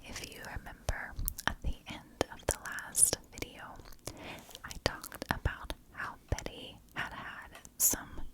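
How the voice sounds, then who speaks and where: A woman whispers a story in ASMR style, never rising into full voice. She takes it very slowly, parcelling the narration out in short, hushed phrases like a host guiding listeners through a tale. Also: low-quality recording. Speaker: ASMR true crime storyteller, channel host.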